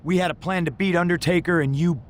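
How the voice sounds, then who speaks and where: A man's voice: sits high, fairly even intonation, fast talker, slight background noise, lightly acted. A man playing an angry wrestler in a video game cutscene, accusing and threatening. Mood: angry menace. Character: angry, menacing male wrestler blaming his partner.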